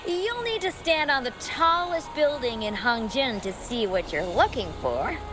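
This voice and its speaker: A woman's voice: high voice, sing-song, unhurried, music in the background. An actress playing a helpful, confident young woman who writes travel guides in a video game. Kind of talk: video game character dialogue.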